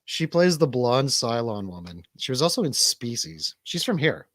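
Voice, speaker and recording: Lively man chatting as show host. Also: mid-range voice, normal speed, no room sound. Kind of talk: casual chat.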